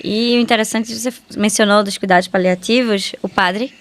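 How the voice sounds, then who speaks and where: A woman's voice: mid-range voice, fairly even intonation, moderate pace. A Brazilian woman speaking as a doctor guest in a conversational interview, repeating words for emphasis.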